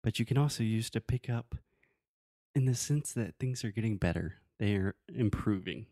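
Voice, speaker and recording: A man's voice: lowish voice, moderate pace, warm tone, no room sound, clean studio sound. A man who is a native English speaker, teaching in a casual conversational way with everyday examples and checking that the listener follows. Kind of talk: casual conversational language lesson.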